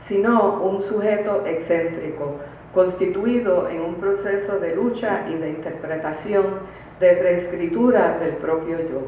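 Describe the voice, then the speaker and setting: A woman delivers a formal conference lecture, reading deliberately from a prepared paper. She is heard from far off in a very echoey room, through thin, phone-like sound. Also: on the low side. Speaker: academic conference speaker. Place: conference room, recorded from a distance. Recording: distant, very echoey room, phone-like, faint noise.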